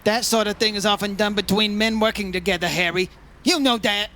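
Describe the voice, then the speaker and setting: A man's voice: sits high, fairly even intonation, brisk, bright, forceful tone. A man playing a wry, teasing insurance investigator in an old-time radio detective play. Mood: probing, businesslike. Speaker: lead actor in a radio play.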